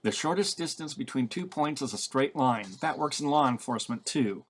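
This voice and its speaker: A man's voice: mid-range voice, lively intonation, normal speed, close to an everyday voice. A blunt, tough-talking woman on the run, read by a man in a storybook reading. She is exasperated and sarcastic, snapping out her points in a mid-range male register.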